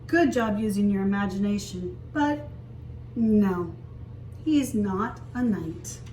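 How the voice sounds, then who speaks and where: A woman reading a picture book aloud as a story time teacher, expressively, pausing to explain and ask young children simple questions. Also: mid-range voice, lively intonation, mellow. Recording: echoey room, distant mic, faint hiss.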